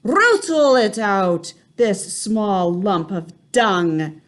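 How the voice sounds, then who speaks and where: A woman voicing an imperious, furious female witch leader who shouts slow, booming commands. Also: close to an everyday voice. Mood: commanding.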